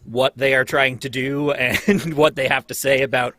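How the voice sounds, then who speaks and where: A man's voice: medium pitch, fairly even intonation, moderate pace, pushed and bright. A man chatting casually and a little ramblingly with fellow cast members over a remote call. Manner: chatty, rambling with many fillers. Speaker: cast member of a radio-script reading show. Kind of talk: casual chat.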